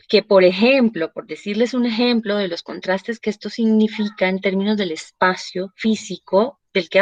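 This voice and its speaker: A woman with a Salvadoran Spanish accent speaks as a lecturer explaining to her students. Her pitch is average, and she talks discursively, adding short tag questions to check in. The recording is dry, with little room sound, and a bit dull and lo-fi. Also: no room sound. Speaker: university lecturer. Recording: slightly dull, lo-fi audio.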